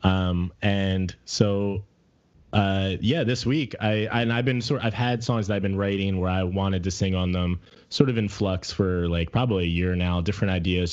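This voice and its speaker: A middle-aged man with a deep voice talking candidly and casually as a podcast co-host, reflecting as he searches for his words. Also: darkish tone, muffled recording. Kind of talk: casual personal conversation.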